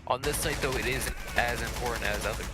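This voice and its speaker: A man's voice: medium pitch, a little fast. A man giving analytical co-commentary on a live competitive video game match. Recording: clear game gunfire and noise behind.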